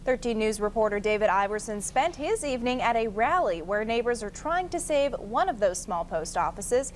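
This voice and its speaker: A woman's voice: fairly high pitch, lively intonation, moderate pace, slight background noise. An American woman reading scripted news as a television anchor.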